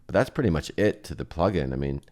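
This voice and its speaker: Low-voiced male, loose and rambling, thinking out loud through a software walkthrough. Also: animated, fast. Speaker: plugin reviewer and host. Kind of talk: casual software demo commentary.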